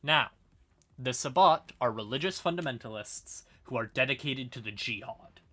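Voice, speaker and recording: A man giving an informal livestream explainer on fantasy lore, halting and wry, with casual asides to his chat. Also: mid-range voice, expressive, pushed and bright.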